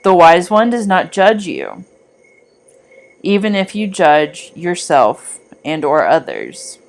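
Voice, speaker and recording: Woman reading a guided meditation, lowish voice, really unhurried and measured. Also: wildly swooping pitch, creaky. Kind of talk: scripted guided meditation narration.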